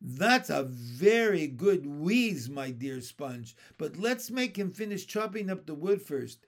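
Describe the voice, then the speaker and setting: A man voicing a grim, tall and bony old aunt who is harsh and bossy, with a shrill, shouting voice. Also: very animated, takes it slowly, full character voice. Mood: commanding.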